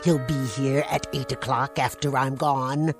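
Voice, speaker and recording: An actress playing a sharp-tongued woman who talks in a sarcastic, biting way in a radio drama. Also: a bass voice, steady tone, measured pace, over music.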